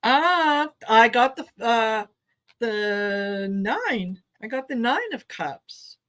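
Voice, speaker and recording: Woman host, tarot talk show; unhurried, slightly high, chatty and a bit halting. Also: very animated, a bit bright. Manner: chatty, laughing, a little halting. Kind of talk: casual chat.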